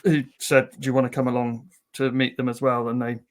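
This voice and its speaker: Relaxed male musician telling a story. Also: mid-range voice, a little fast. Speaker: guest musician. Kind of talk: casual interview chat.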